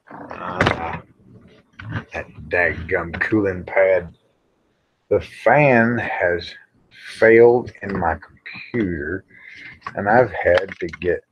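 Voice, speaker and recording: Slow, folksy American man, low voice. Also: noisy background. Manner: folksy, plain-spoken with mild cussing. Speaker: livestream host. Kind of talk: casual rambling live chat with viewers. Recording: dull, lo-fi livestream audio.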